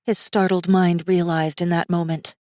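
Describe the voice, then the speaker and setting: A woman narrating a fantasy audiobook in a measured, suspenseful manner with deliberate pauses. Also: lowish voice, level tone, darkish tone, no echo, studio quality. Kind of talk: scripted dramatic fiction narration.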